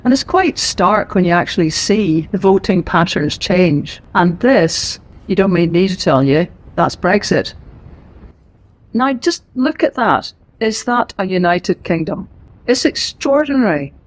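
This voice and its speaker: A middle-aged woman with a Northern Irish accent, an author giving a lively, emphatic book talk to a live audience in a library. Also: fairly low pitch, a little slow. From Northern Ireland. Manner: lively, emphatic and conversational, with asides. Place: library event room.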